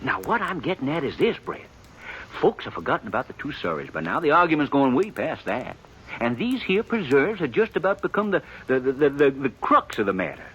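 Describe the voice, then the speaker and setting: A man's voice: fairly high pitch, fairly quick, breathy edge, highs cut off, exaggerated voice. An American man playing a flustered, wheedling small-town undertaker in a 1950s Western radio drama, stammering and rustic as he sweet-talks. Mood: wheedling, persuasive. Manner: stammering, rustic, excitable, persuasive. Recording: 1950s radio recording with faint noise.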